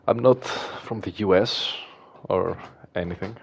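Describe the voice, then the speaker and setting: A man's voice: low-pitched, unhurried, gravelly, slightly rough. A man from Greece with a Greek accent, chatting casually with his livestream viewers while he plays. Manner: relaxed, hesitant, with fillers and soft laughs. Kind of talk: casual livestream chat reading viewer messages.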